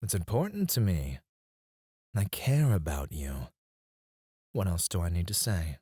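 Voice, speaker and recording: A man's voice: low-pitched, big pitch swings, a little mellow, no room sound, clean studio sound, heavily acted. A man playing an obsessive, possessive CEO in an ASMR roleplay, speaking in a smug, menacing and condescending way. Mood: courteous, probing. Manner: smooth-talking, condescending, drawn-out deliberate phrasing.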